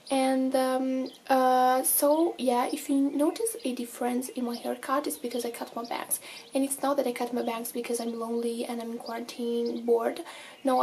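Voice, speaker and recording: A woman's voice: fairly high pitch, darkish tone, a little hiss or hum. Chatty Italian teen girl vlogger. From Italy. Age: teens. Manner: chatty, many fillers and self-corrections. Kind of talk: casual vlog talking to camera.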